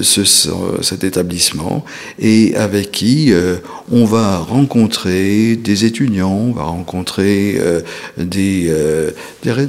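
A man hosting an interview who talks haltingly, with many restarts and fillers. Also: on the low side. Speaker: host. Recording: long reverb.

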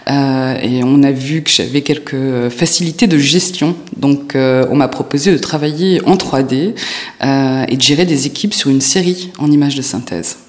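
A woman's voice: a bass voice, a little mellow. A woman in her forties from the United States, answering interview questions with frequent hesitations and fillers.

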